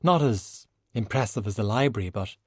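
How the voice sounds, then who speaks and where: A man's voice: fairly low pitch, lively intonation, no room sound, studio quality. Man voicing a poised, silky, refined woman. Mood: composed, wry. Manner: measured, formal, refined. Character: poised, silky, beautiful woman, proud and wryly self-pitying. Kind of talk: audiobook character dialogue.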